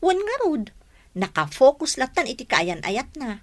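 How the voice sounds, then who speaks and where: Actress as higher-pitched, worried young village friend. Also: female voice, big pitch swings, unhurried, full character voice. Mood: concerned. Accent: Ilocano. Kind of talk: Ilocano radio drama acting.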